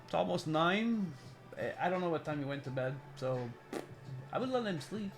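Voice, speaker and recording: A man's voice: fairly high pitch, animated. Rambling middle-aged man streamer over music. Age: 40s. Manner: halting, rambling, repeats words, chuckles. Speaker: live streamer. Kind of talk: casual chat with stream viewers.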